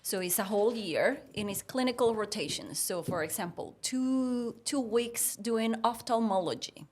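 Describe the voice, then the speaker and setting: Female podcast guest, native Spanish speaker with Puerto Rican accent, medium pitch, conversational storyteller who voices both sides of exchanges. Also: moderate pace. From Puerto Rico. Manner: animated retelling with acted-out dialogue.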